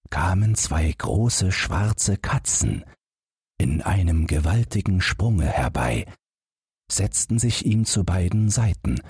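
A man narrating a fairy tale as an audiobook, measured and dramatic in his expressive storytelling. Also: sits low, slightly rough, no echo, clean studio sound.

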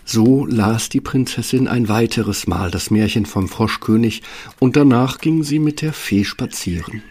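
A man's voice: mid-range voice, measured pace, darkish tone, slightly rough. A man reading scripted fairy-tale narration as the storyteller of an audio play.

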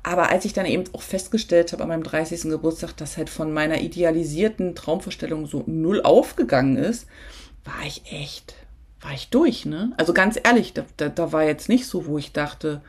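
A woman's voice: sits low, a little fast, husky. A woman hosting a solo podcast, talking casually and reflectively straight to the listener, with frequent filler sounds and self-corrections. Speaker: podcast host.

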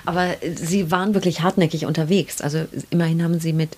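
Low-voiced, slightly husky woman interviewer. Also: fairly even intonation, quick pace, a little mellow. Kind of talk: literary interview conversation. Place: indoors at the guest's home.

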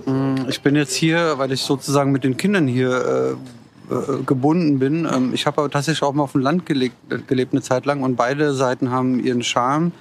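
A man's voice: mid-range voice, steady tone, brisk, vocal fry, a little hiss or hum. Easygoing man in his fifties. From Frankfurt, Germany. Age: 50s. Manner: hesitant, easygoing, many fillers. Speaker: event guest.

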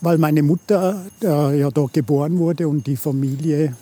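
A man in his fifties from England, Uganda and Munich, talking casually and haltingly as a podcast guest while walking outdoors. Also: on the high side, steady tone, warm tone, slightly rough. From born in England, raised in Uganda and Munich. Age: 50s. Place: outdoors on a hike in the Swiss mountains.

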